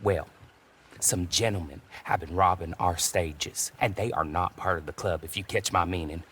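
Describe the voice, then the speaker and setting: An actor playing a gloomy, shady frontier station clerk in a game, with a hesitant, awkwardly formal manner. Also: male voice, low-pitched, normal speed, a bit bright, slight background noise. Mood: furtive, businesslike. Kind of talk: dramatic game cutscene acting.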